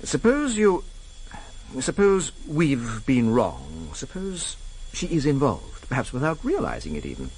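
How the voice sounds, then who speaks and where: A man's voice: medium pitch, wildly swooping pitch, measured pace, a little mellow, a little hiss or hum, low-quality recording. A man acting in a radio drama as a pedantic, coolly menacing senior intelligence official, with a fussy, precise, insinuating manner. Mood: probing.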